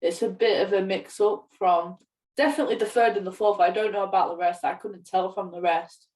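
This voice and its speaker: A woman's voice: mid-range voice, fairly even intonation, a little fast, a little mellow. A woman co-hosting a podcast in casual, conversational chat. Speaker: podcast co-host. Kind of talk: casual podcast chat about films and games. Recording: echoey room, distant from mic.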